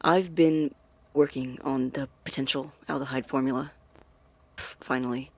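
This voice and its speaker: An actor playing a secretive, methodical male science student in an audio drama, dictating a tape diary with hesitant, precise wording in a gravelly, husky voice. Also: medium pitch, steady tone, normal speed, natural voice. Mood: guarded, hesitant.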